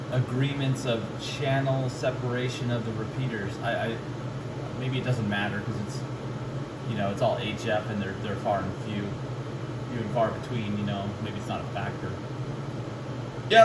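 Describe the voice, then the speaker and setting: A man in a casual two-way radio chat, musing and thinking out loud as a hobbyist. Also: mid-range voice, recorded from a distance. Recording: noisy, echoey radio chat, off-mic.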